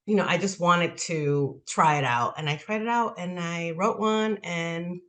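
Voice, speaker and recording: A woman's voice: lowish voice, unhurried, fairly bright tone. A woman in her forties from the Republic, answering interview questions in a chatty way full of "like" fillers. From Dominican Republic. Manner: chatty, heavy use of "like" fillers. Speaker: guest author.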